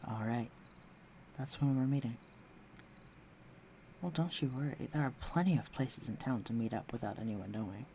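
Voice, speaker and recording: Man playing a gravelly, flirtatious fantasy villain. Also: mid-range voice, close to an everyday voice. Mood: coaxing, warm. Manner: teasing, self-assured, unhurried, dropping into hushed near-whispers. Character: flirtatious, confident fantasy villain, secretly protective of his enemy. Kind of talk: intimate roleplay audio drama addressed to the listener. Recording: faint noise, night crickets and insects. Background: night ambience with crickets.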